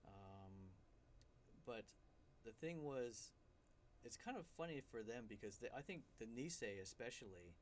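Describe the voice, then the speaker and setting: A middle-aged man, a guest filmmaker in a studio interview, explaining in a measured way with frequent ums and uhs. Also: mid-range voice, animated, a little hiss or hum. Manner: measured, explanatory, many fillers.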